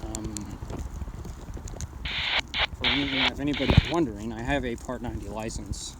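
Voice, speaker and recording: A man's voice: medium pitch, steady tone, normal speed, heavy background noise. A man talking casually and off the cuff as he demonstrates radio gear, rambling but blunt and matter-of-fact. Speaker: amateur radio hobbyist reviewing gear. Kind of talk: casual unscripted product demonstration.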